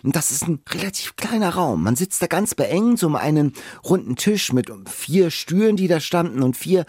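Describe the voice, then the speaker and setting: A middle-aged man co-hosting a podcast, talking in a playful, excitable, conversational way. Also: fairly high pitch, moderate pace, husky, dry recording, studio quality. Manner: playful, excitable, given to acting out lines. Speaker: podcast co-host. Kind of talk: enthusiastic conversational podcast talk.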